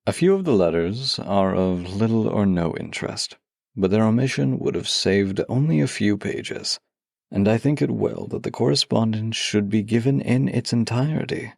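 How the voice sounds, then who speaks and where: Deep, gravelly male podcast host. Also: moderate pace, a little mellow, no room sound, studio quality. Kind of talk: intimate podcast talk with a read-aloud letter.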